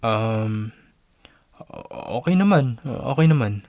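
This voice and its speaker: A man's voice: mid-range voice, animated, takes it slowly, darkish tone, dry recording. An actor playing a nervous, evasive young friend in a radio drama, hedging and stalling in his answers.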